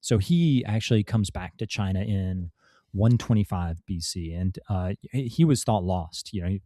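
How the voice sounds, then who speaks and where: A man's voice: sits low, moderate pace, warm tone, no echo, studio quality. A man, a podcast guest and author, telling a history story in casual talk, with many fillers and restarts. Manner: rambling, many fillers and restarts, laughs now and then.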